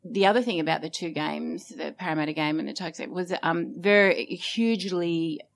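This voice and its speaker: Brief: woman guest, very low voice, lively rambling delivery. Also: a little fast, gravelly. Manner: animated, rambling, colourful phrasing. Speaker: foundation director. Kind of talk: casual radio panel chat.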